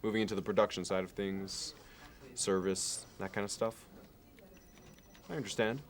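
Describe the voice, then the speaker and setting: A man playing a polished, courteous and meticulous finance executive in an ASMR roleplay, speaking in a measured, halting and formal way. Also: lowish voice, steady tone, close to an everyday voice. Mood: polite, businesslike. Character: polished, courteous, obsessively appraising male Manhattan finance executive.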